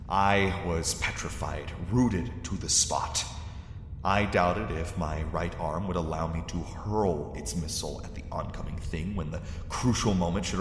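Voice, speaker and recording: A man's voice: deep voice, fairly bright tone. A man acting a terrified lost explorer, narrating his ordeal in formal, theatrical gothic horror style. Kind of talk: dramatic first-person gothic horror narration. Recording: echoey cavern-like reverb, faint noise.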